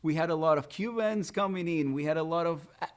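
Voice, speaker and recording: A man's voice: a little high, smooth. A man from Puerto Rico, a Spanish native speaker with a Puerto Rican accent, talking earnestly and reflectively as an attorney guest on a podcast. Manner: reflective, earnest storytelling with pauses. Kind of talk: conversational podcast interview.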